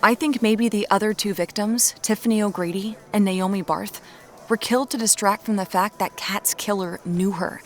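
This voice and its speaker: A woman's voice: mid-range voice, steady tone, moderate pace, natural voice. A woman playing a determined, dryly frank amateur detective in a naturalistic audio drama. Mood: stubborn. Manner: dry, frank, stubborn. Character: determined amateur woman detective hunting her sister's killer.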